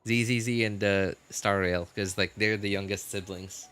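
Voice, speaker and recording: A woman chatting casually on a gaming livestream, in a halting, rambling way that often repeats and rephrases. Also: very deep voice, fairly even intonation, fairly bright tone, soft background music. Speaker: video game livestreamer.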